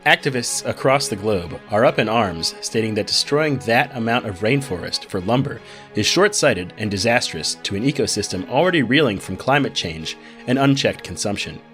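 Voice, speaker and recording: A man playing an evening news anchor, reading scripted headlines in a measured, upbeat broadcast manner. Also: lowish voice, lively intonation, fairly bright tone, soft background music. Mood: measured, newsy.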